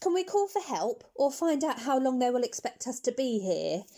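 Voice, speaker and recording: A woman's voice: high voice, big pitch swings, normal speed, dark tone. A woman playing a blunt, practical housewife in an amateur radio play. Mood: matter-of-fact.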